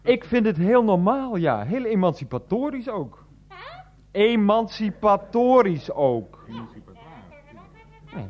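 A pedantic middle-aged teacher in a radio play, wordy and argumentative. His voice is fairly high and swings widely in pitch, with faint music behind. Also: sing-song, takes it slowly, long pauses, a little mellow, heavily acted. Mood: exasperated. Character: pedantic, exasperated thirty-nine-year-old unemployed teacher, henpecked son.

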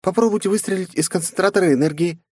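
A man's voice: high voice, fast talker, darkish tone, breathy edge, no echo, clean studio sound, voice pushed up. A male narrator voicing a polite, formal female AI assistant in an audiobook. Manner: formal, advisory phrasing. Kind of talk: audiobook character voice.

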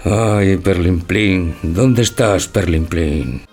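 An actor playing a timid, naive older bridegroom in a stage tragicomedy, hesitant, anxious and full of wonder. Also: male voice, sits low, drawn-out words, darkish tone, soft background music, heavily acted. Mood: awestruck, timid. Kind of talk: theatrical tragicomedy audio drama.

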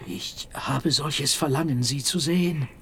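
An actor playing a desperate, haunted middle-aged hatter in a German radio play, brooding and pleading in an intimate way. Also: male voice, on the high side, a little slow, fairly bright tone, slight background noise. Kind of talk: German radio play acting with inner monologue.

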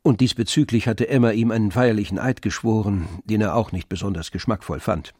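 A man narrating a literary radio drama in a measured storytelling manner. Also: fairly low pitch, steady tone, a little mellow, dry recording, clean studio sound.

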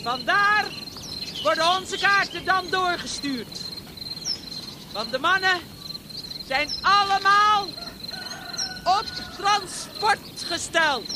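A woman's voice: very high-pitched, slow, long pauses. A woman acting in a Dutch radio play as a woman in a wartime internment camp, speaking in clipped announcements and commands. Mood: matter-of-fact. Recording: noisy radio play recording.

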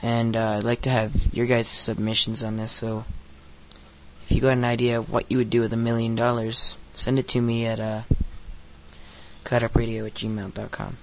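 A man's voice: medium pitch, monotone, moderate pace, slight background noise, rough recording. Profane teenage boy ranting on a podcast. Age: teens. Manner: crude, profane, rambling with many fillers. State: recovering from hoarseness. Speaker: podcast host. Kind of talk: casual solo podcast rant.

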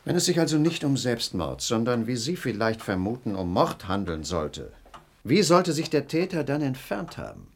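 An actor playing a stubborn, skeptical police inspector in a German radio play, brusque and easily flustered. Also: male voice, medium pitch, sing-song, moderate pace, husky. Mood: dismissive. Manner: brusque, huffy, sometimes stammering.

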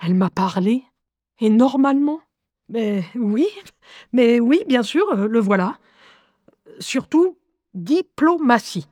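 A woman playing a lively young schoolgirl who narrates her life in a wry, confiding, expressive way in an audio drama. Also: fairly high pitch, takes it slowly, pauses often, dry recording, studio quality. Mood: confiding narration. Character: lively eleven-year-old schoolgirl recounting life with inner voices.

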